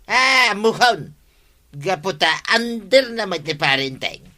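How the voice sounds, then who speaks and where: A man's voice: high-pitched, big pitch swings, slow pace, sharp tone, exaggerated voice. A man playing a mischievous, tipsy village man who rambles and mocks people in a comedy radio drama. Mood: tipsy mocking. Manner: mocking, rambling, name-calling.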